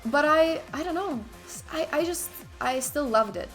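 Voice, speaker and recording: A young woman from Ukraine talks casually on her stream, voice sitting a little high. She breaks off sentences and restarts them, tossing in asides to herself as she goes. Soft music runs quietly underneath. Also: lively intonation, moderate pace. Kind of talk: casual chat while playing chess.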